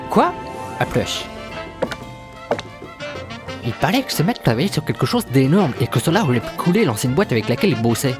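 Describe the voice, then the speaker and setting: An actor playing a grumbling, shifty New York smuggler and informant in a French audio drama, with an aggrieved, conspiratorial manner. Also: male voice, mid-range voice, sing-song, moderate pace, pauses often, music in the background, close to an everyday voice.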